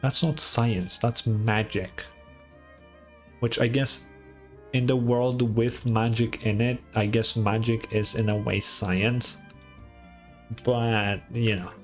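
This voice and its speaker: A man giving casual, skeptical let's play commentary as a game streamer, with a deadpan delivery and casual swearing. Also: medium pitch, takes it slowly, pauses often, faint music behind.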